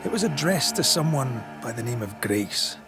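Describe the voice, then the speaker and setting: An actor playing a shrewd, persistent investigator of hauntings in a video game cutscene, probing and wry. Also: male voice, medium pitch, expressive, moderate pace, music in the background, lightly acted.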